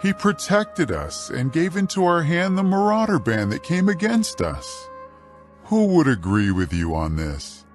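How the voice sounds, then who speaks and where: An actor playing a fair-minded, commanding Israelite leader, speaking with earnest authority in a dramatized scripture recording. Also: male voice, on the high side, very animated, a little slow, soft background music. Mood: firm, earnest. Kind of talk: dramatized scripture audio acting.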